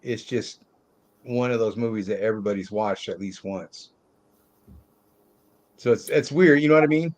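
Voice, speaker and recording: A man's voice: lowish voice, normal speed, long pauses, a little mellow. A man co-hosting a casual movie discussion, giving blunt opinions in salty, informal language. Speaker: movie podcast co-host.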